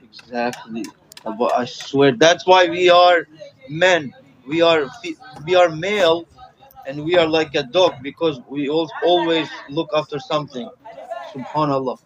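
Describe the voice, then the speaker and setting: A man's voice: fairly high pitch, a little slow, highs cut off. A man taking an online Quran class, eagerly praising his teacher. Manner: eager, full of praise phrases. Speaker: student.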